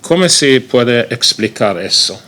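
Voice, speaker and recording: A man with an Italian accent gives a seminar talk in Spanish, which is not his first language. He speaks slowly and haltingly, filling his pauses with "eh". His voice sits at a middle pitch. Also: animated, sharp tone. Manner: halting, with frequent "eh" fillers. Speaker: guest speaker.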